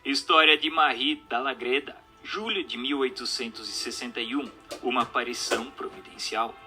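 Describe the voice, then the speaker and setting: A man reading a book aloud as narrator, in a formal, measured, didactic way. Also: mid-range voice, pushed and bright, soft background music. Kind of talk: scripted narration, audiobook reading.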